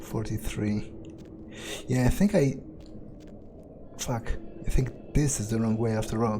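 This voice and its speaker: Casting: a man as weary, sardonic male vampire in a video game, mid-pitched, unhurried, halting, swearing self-talk. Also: background noise, natural voice. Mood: focused, musing. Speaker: player roleplaying a video game character. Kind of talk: in-character improvised gameplay monologue.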